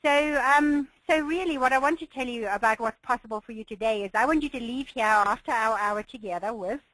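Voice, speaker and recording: A woman presenting a business webinar as a coach, slightly hoarse from a cold, with an enthusiastic, encouraging manner and frequent light laughs. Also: fairly high pitch, fairly quick, a bit bright, no echo, rough recording.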